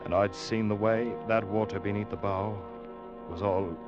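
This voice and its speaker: An actor playing a young ship's officer who narrates his tale in a measured, grave way, in 1940s radio drama style. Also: fairly low pitch, fairly even intonation, lightly acted. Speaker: narrator and first mate Ned. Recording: dull old radio recording with music.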